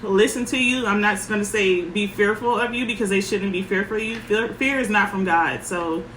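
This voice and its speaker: A woman parenting coach with a soft voice, giving frank advice in short, emphatic sentences. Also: medium pitch, normal speed, echoey room, slight background noise, rough recording. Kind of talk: casual advice talk.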